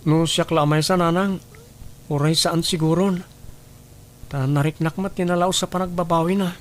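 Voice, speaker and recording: An actor playing a dutiful, forgiving young son in a radio drama, speaking earnestly and gently. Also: male voice, fairly high pitch, fairly even intonation, moderate pace, close to an everyday voice. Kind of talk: Ilocano radio drama acting.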